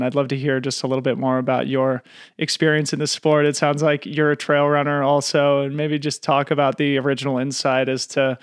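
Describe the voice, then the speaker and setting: A man hosting a podcast, asking questions in smooth, measured full sentences. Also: mid-range voice, no echo, clean studio sound. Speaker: podcast host. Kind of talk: podcast interviewing.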